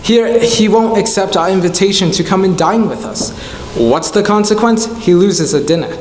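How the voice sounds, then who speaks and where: A man playing a good-natured, merry young Victorian gentleman in a staged radio play. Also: high-pitched, moderate pace, natural voice. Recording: echoey hall, faint noise.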